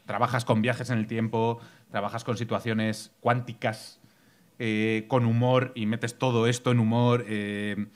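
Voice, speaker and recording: A man in his thirties hosts a live podcast a small bar stage. He talks with eager enthusiasm into a desk mic, often stopping and starting over mid-sentence. His voice sits at an ordinary middle pitch. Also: little pitch movement. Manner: enthusiastic, halting, many restarts. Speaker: podcast host. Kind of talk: casual chat.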